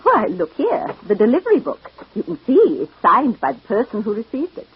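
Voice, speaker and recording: An actress playing a talkative, helpful telegraph clerk in an old radio drama. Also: female voice, a little high, huge pitch swings, moderate pace, a little hiss or hum. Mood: eager, chatty. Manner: talkative, obliging. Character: chatty, helpful female telegraph office clerk. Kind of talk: 1940s-50s radio character acting. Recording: dull old radio recording.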